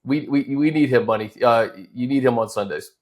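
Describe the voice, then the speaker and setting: A man hosting a conversational football show, talking in halting, choppy phrases. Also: medium pitch, a little mellow. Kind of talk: conversational sports talk.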